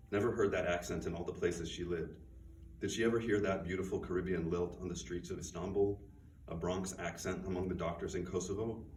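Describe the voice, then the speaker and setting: Low-voiced guy reading his story aloud, sounds far off from the mic in an echoey bookstore room, a bit of faint hiss. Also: a little mellow. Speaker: author reading his fiction. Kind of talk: live fiction reading. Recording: roomy, distant, faint noise.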